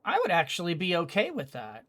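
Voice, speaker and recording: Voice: medium pitch, wildly swooping pitch, fairly quick, fairly bright tone. A video game streamer giving casual commentary, thinking aloud in a hesitant, rambling way.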